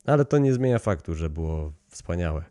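Low, mellow male storyteller. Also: very animated, moderate pace, no room sound, studio quality. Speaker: podcast host reading horror stories. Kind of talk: dramatic story narration with casual commentary.

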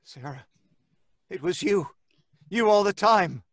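An actor playing a devoted, distraught husband, earnest and pleading, in old-time radio horror style. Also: male voice, high voice, lively intonation, slow pace, a bit bright, no echo, studio quality, exaggerated voice. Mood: stunned, sorrowful. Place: foam-lined recording booth. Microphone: studio microphone, close.

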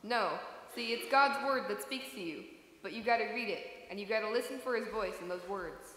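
A wry female detective played by a woman tells her case in deadpan radio-play style. The voice rings through a very echoey church hall with clear background noise. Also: medium pitch, a little fast, lightly acted. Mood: earnest, wry. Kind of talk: old-time radio drama read aloud live. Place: church sanctuary stage. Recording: roomy church hall echo, noisy.

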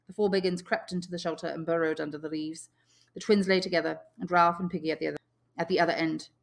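Audiobook reader: woman, slightly low pitch with noticeable vocal fry, expressive teacherly storytelling. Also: fast talker. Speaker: English teacher reading a novel. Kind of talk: audiobook narration.

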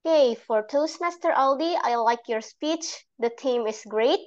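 A young woman with an Indonesian accent giving halting, simply phrased speech feedback as an evaluator on a video call. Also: high-pitched, darkish tone, highs cut off. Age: young adult. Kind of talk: spoken speech feedback at a club meeting.

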